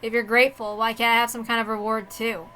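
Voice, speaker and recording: A woman voicing a tired, wistful young girl with a quiet, low-key manner in a story reading. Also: little pitch movement, fast talker, a little hiss or hum. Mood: weary, wistful.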